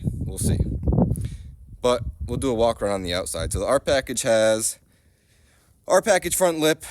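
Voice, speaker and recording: A man's voice: fairly low pitch, noisy background. A man in his thirties with a stuffy head cold, talking loosely and self-deprecatingly while showing off his car outdoors on a phone camera. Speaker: car vlogger. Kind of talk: casual car walkaround vlog. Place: outdoors in a gravel driveway and inside a small car. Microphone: phone camera selfie view.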